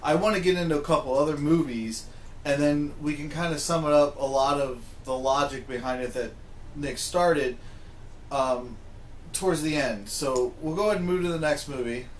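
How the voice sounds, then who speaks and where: A man with a slightly high voice hosts a casual podcast chat, steering the discussion conversationally. The room echoes and there is some noise in the background. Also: normal speed. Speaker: podcast host moderating the discussion.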